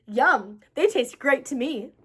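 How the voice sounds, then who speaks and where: Woman as an eager, high-voiced little brother. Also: animated, slow pace, bright, forceful tone, breathy edge, full character voice. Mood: cheerful, impressed. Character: cheerful, teasing little brother in a storybook.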